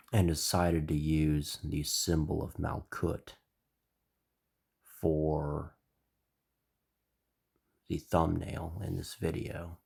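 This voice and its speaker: Very deep, gravelly man with a stuffy nose. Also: darkish tone. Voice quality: slightly nasal. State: stuffy, congested. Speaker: channel host. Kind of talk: spiritual explainer monologue.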